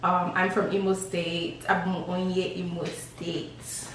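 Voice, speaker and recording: Echoey, low-voiced young woman vlogger. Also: flat delivery, slow, a little hiss or hum. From Lagos, Nigeria. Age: young adult. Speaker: vlogger answering questions about herself. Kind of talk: casual chat. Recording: echoey room, distant mic, background beat.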